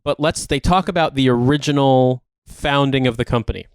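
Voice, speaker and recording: A man's voice: medium pitch, fairly quick, clean tone, dry recording. A man co-hosting a podcast in casual banter, talking in an emphatic, incredulous, sweary way. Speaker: podcast co-host.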